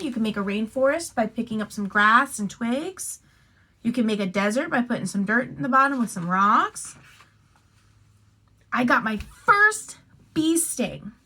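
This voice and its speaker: Bright, high-pitched woman reading to children. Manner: expressive, deliberate phrasing, addressing young listeners. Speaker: teacher reading aloud to children. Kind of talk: animated picture-book read-aloud with friendly asides.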